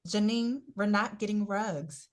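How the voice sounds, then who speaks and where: An actress playing a composed, stern veteran teacher in a script table read, measured and firm. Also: female voice, mid-range voice, close to an everyday voice. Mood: weary, firm.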